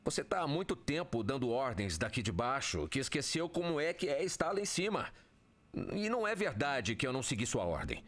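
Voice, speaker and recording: An actor playing a cocky, insolent air force major in a Brazilian audio drama, breezy and sarcastic. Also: male voice, mid-range voice, sing-song, brisk, a bit bright. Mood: defiant, mocking.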